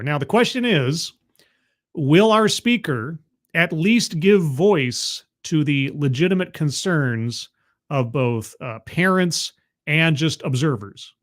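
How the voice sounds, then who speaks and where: A man commenting live on a video he is watching, making wry, skeptical asides and thanking viewers in the chat. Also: medium pitch. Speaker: streamer reacting to a video. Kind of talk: casual live-stream commentary.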